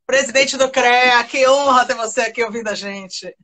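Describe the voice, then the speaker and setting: Salvador Brazilian woman, high voice, earnest, conversational, guest expert, everyday examples. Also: normal speed, sharp tone. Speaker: radio guest, acoustics professional. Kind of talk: conversational radio discussion.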